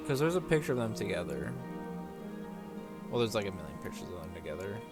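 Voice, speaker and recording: Rambling man over loud game music. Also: lowish voice, big pitch swings, fast talker. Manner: rambling, repetitive, sweary. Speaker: gaming streamer playing through a story quest. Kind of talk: casual playthrough commentary, reading game text aloud.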